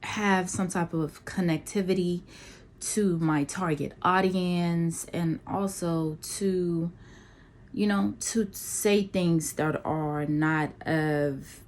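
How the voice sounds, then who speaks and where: A woman in her thirties from Nigeria giving a personal introduction to her viewers, talking slowly and deliberately with many pauses and ums. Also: on the low side, fairly even intonation, a little mellow. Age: 30s. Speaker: vlogger. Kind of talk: casual personal introduction to camera.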